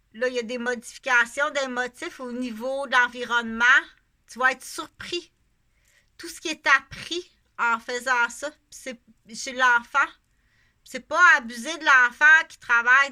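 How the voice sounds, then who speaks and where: A woman's voice: sits high, sharp tone. A middle-aged woman from Canada with a Québécois accent, talking casually to camera in a rambling, emphatic way and repeating herself for stress. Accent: Québécois French. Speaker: vlogger. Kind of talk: casual unscripted monologue to camera.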